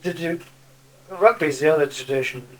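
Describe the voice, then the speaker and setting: Slightly high-voiced man, casual interviewer. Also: moderate pace. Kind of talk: casual conversational questioning.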